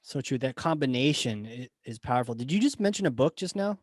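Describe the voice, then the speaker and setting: A man hosting a podcast interview, asking questions with enthusiastic, quick reactions. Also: medium pitch, expressive, no echo, clean studio sound. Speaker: podcast host. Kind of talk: casual interview chat.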